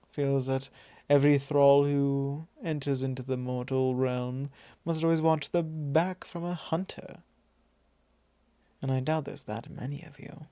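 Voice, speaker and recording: A man playing a possessive, teasing vampire lord in an intimate ASMR roleplay, speaking softly in a measured, drawling, formal way. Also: mid-range voice, fairly even intonation, clean tone, dry recording, natural voice. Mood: curious, musing. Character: possessive male vampire lord, teasing, calmly probing his thrall.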